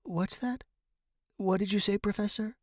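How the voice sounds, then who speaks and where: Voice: slow pace, a little mellow, no echo, clean studio sound. A storyteller voicing a devoted young male music student, earnest, emotional and imploring. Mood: anxious concern. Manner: earnest, imploring, high and distraught.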